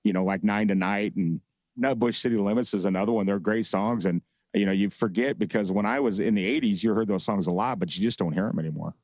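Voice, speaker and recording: A man's voice: on the low side, quick pace, darkish tone, dry recording. A man who owns a bar, a guest on a studio podcast, rambling and reminiscing as he gropes for names. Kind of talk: casual podcast chat.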